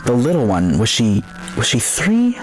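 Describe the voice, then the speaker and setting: A man playing a traumatized, weary army private, the sole survivor, in a post-apocalyptic radio drama, with a halting, bitterly wry delivery. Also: medium pitch, wildly swooping pitch, music in the background, low-quality recording. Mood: haunted, reminiscing.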